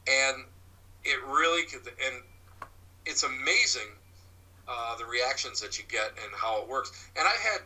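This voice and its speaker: A man with a loud voice, answering interview questions about his paranormal work in a rambling way, full of restarts and fillers. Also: mid-range voice, animated, a little slow, rough recording. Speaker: paranormal investigator and medium. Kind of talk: interview guest, casual explaining.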